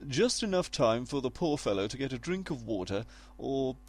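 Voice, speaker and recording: An actor playing a droll, meddling narrator with a British accent in a comedic audio drama, sarcastic and politely formal. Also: male voice, mid-range voice, big pitch swings, moderate pace. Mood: wry, polite.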